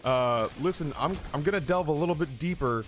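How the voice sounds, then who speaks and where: A man's voice: fairly high pitch, fairly quick, fairly bright tone, soft background music, close to an everyday voice. A man voicing a young male game-hotline tipster who sounds helpful and upbeat. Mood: apologetic.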